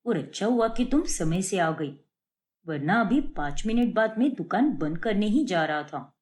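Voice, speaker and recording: A woman's voice: mid-range voice, lively intonation, measured pace, a little mellow, natural voice. A woman voicing a kind, easygoing young male shopkeeper in a cartoon story, casual and reassuring.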